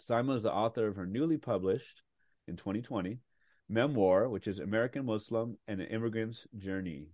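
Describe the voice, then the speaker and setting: Male podcast host, low voice, dry close recording, chatty and upbeat. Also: brisk, a little mellow, no echo. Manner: chatty, enthusiastic, with frequent fillers. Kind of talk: casual interview chat.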